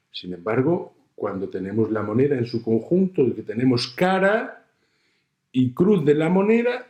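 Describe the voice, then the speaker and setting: A middle-aged man with a Spanish accent from Spain lectures slowly, pausing often between phrases. He explains his point patiently with everyday examples. The room carries a noticeable echo. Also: medium pitch, a little mellow. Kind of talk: recorded university lecture.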